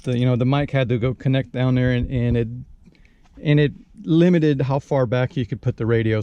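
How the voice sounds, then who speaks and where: A man's voice: medium pitch, mellow, clean tone, no room sound, highs cut off. A man giving a casual, unscripted product walkthrough as a channel host, in a hesitant, rambling way with frequent repeated words.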